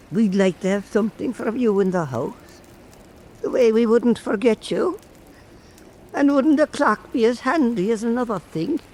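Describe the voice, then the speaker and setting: A man playing an old island storyteller, telling eerie folk tales in a deliberate, pausing way. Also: extremely high pitch, a little mellow, slight background noise, pitched above the performer's own voice, low-quality recording. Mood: gentle, earnest. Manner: slow, deliberate oral storytelling with pauses. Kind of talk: dramatic folk-tale narration.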